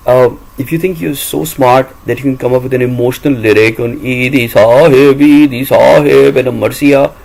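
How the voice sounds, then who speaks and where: Gravelly Pakistani man, blunt and defiant. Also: medium pitch, steady tone, normal speed, hardly any pauses, a little mellow, poor audio. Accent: Pakistani English. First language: Urdu. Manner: blunt, combative, repetitive emphasis. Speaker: voice-over reader and vlogger. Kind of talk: defiant personal monologue.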